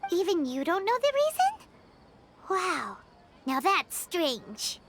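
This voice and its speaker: A woman voicing a tiny, excitable, childlike fairy companion in a video game, squeaky and exclamatory. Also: slow pace, a little hiss or hum, heavily acted. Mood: curious. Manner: speaks of self in third person, exclamatory.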